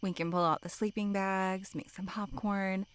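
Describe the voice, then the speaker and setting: A woman's voice: medium pitch, little pitch movement, normal speed, clear, resonant tone, lightly acted. A woman playing a sassy, teasing young female camp counselor in an audio drama, with a flippant, playful manner. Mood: playful, inviting.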